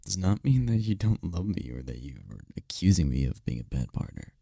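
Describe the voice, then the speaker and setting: A man playing a tender, teasing incubus demon boyfriend in an intimate ASMR roleplay, speaking in a breathy, soothing, soft-spoken way. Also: very animated, a little fast, dry recording, clean studio sound, heavily acted. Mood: affectionate, reassuring. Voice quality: breathy, gravelly low voice.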